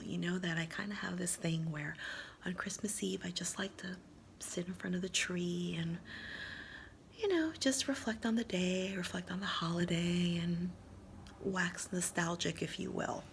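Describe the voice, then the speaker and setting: A woman with a breathy, husky voice, often near a whisper, reading aloud in a hushed, intimate, unhurried and expressive storytime style. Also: lowish voice, a little hiss or hum. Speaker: storyteller reading a holiday poem.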